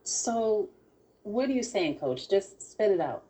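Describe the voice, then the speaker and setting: A woman's voice: mid-range voice, very animated, slow, warm tone, voice pushed up, low-quality recording. A woman playing a distressed young male college athlete, pleading and defensive, in an audio drama table read. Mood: incredulous. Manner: pleading, incredulous.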